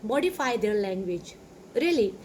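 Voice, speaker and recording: A woman's voice: mid-range voice, big pitch swings, moderate pace, a little mellow, a little hiss or hum. A woman from Pakistan with a Sindhi-Pakistani English accent, a native Sindhi speaker, talking casually and rambling with lots of "you know" and emphatic asides. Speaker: English teacher. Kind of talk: casual talk to camera.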